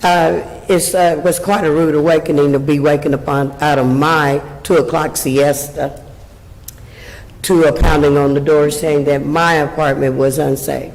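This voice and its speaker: A woman's voice: low voice, steady tone, moderate pace, a little mellow. A woman in her seventies with a strong, carrying voice, speaking off the cuff as a resident at a public meeting. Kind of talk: impromptu public speech to a city council. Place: large indoor council chamber. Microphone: standing back from podium microphone. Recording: echoey public meeting hall.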